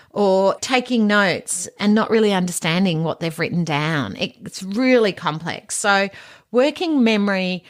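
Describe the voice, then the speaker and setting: A woman hosting an education podcast, talking informally and emphatically in a teaching monologue with rhetorical questions. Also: mid-range voice. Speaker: podcast host and education presenter.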